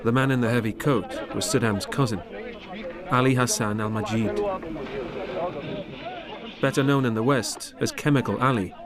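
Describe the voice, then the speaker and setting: A man narrating a documentary from a script in a measured, grave manner. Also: mid-range voice, a loud crowd. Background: music under the voice.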